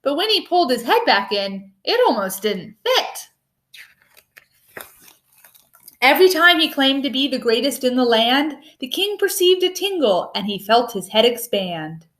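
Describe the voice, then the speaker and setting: A woman reading a rhyming picture book aloud in an expressive storytelling style. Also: high voice, a little slow. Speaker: storybook reader.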